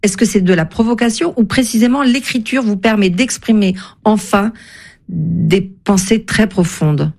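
A woman radio host who asks her author guest measured, articulate questions. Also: medium pitch, creaky, a little mellow.

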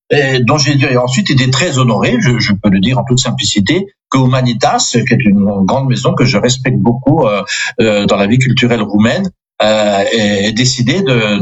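A man's voice: mid-range voice, slightly rough, lo-fi sound. An older man, a priest and native Alsatian speaker, giving rambling, self-deprecating interview answers full of superlatives.

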